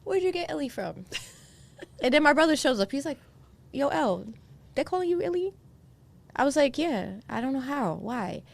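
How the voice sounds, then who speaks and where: A woman's voice: high voice, sing-song, moderate pace, vocal fry, pauses often. A woman from Queens, New York, hosting a podcast interview in a chatty, playful, storytelling way. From Jamaica, Queens, New York. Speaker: podcast host. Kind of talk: casual interview chat.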